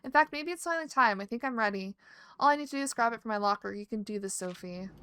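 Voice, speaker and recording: A woman's voice: a little high, fast, fairly bright tone, slight background noise. A woman playing a story game, reading its dialogue and letters aloud and stopping to react. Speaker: let's play streamer.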